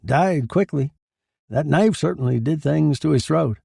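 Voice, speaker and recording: A man's voice: a little high, expressive, measured pace, creaky, dark tone, husky, no room sound, studio quality, pitched above the performer's own voice, exaggerated voice. A man voicing a morbid, flippant coroner who relishes his grisly work, in a noir crime drama.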